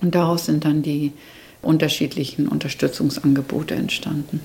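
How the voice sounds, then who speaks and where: Measured woman therapist, low mellow voice. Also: little pitch movement, gravelly. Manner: measured, explanatory. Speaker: child and youth therapist. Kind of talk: interview answers.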